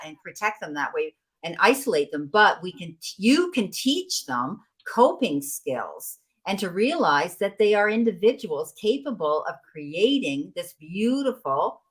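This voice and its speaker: A middle-aged woman hosting a podcast interview, talking in a chatty, agreeable way and quickly affirming her guest. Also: on the low side, very animated, fairly bright tone. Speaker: podcast host.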